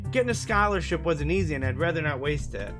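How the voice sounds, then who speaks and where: A man's voice: fairly high pitch, expressive, quick pace, close to an everyday voice. A man voicing a reserved, studious young female college freshman in a visual novel, hesitant and questioning. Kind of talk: visual novel character read aloud. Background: music from the game.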